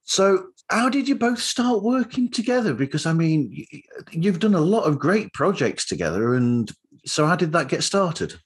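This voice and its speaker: Man hosting with very swoopy, animated pitch. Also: normal speed. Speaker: podcast host interviewer. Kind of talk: casual interview chat.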